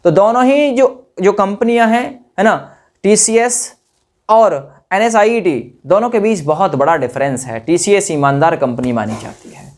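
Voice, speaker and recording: A man's voice: high voice, measured pace. Man giving an informal explanatory update. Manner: addresses listeners directly, repeats phrases, checks in with tag questions. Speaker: exam-update presenter for job aspirants.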